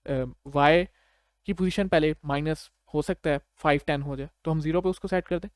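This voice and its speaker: A Pakistani man speaks as a tutor in a slightly high voice. He explains things step by step in an informal, conversational way, often adding short check-in questions. The sound is close and dry, with very little room. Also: moderate pace, clean tone, no room sound. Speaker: programming tutor.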